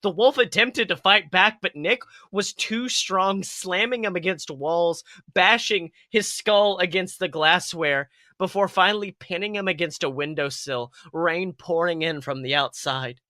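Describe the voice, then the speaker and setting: A man's voice: high-pitched, a little slow, few pauses, sharp tone, no echo, studio quality. A man narrating a story as a podcast host, reading dramatically with theatrical emphasis.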